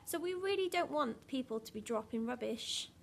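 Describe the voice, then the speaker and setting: High-voiced woman reading to young children. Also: normal speed, vocal fry, slight background noise. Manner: animated, dramatic emphasis, asks the listeners questions. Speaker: primary school teacher. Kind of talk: expressive picture-book reading for young children.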